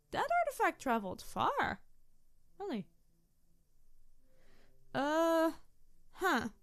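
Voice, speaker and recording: A woman voicing a playful, mischievous tanuki spirit girl in a roleplay audio drama, teasing the listener in an excitable, rambling way. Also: high-pitched, dry recording, heavily acted. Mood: surprised, apologetic. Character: playful, mischievous young-sounding tanuki trickster spirit girl, over two hundred.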